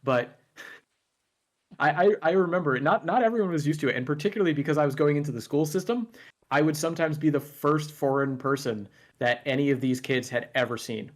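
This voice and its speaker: A man chatting casually as a guest, relaxed and careful as he explains. He tells his stories at an easy, measured pace. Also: a little high. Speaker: guest YouTuber. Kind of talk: casual conversation.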